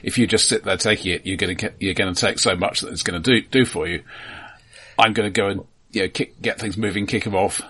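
A man with a fairly low, somewhat husky voice talks through a film in a casual co-host's manner. He restarts and doubles words as he goes. His humour stays dry and understated. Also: steady tone, pushed and bright. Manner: halting, repeats words and restarts often. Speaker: film podcast co-host.

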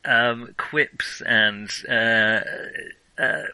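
Bright, forceful man, closely recorded. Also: medium pitch, steady tone, very slow, smooth, no room sound. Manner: hesitant, self-correcting, wry. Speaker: film podcast co-host. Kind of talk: casual film discussion.

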